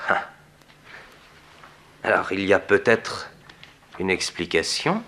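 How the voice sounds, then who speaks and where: An actor playing an eager detective's sidekick who reasons out theories emphatically in a 1960s French radio drama. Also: male voice, low voice, very animated, takes it slowly, pushed and bright, full character voice. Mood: speculative.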